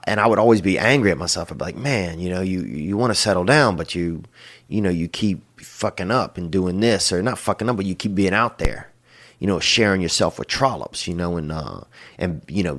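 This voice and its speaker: Deep-voiced drawling man giving advice. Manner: drawling, loose and crude, tosses in "boy" and "you know". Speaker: podcast host giving advice. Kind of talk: casual rambling advice.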